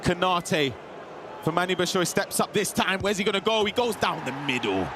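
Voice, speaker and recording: High-voiced energetic male co-caster. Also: normal speed, background noise. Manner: energetic, exclaiming. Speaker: esports co-caster. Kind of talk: sports commentary.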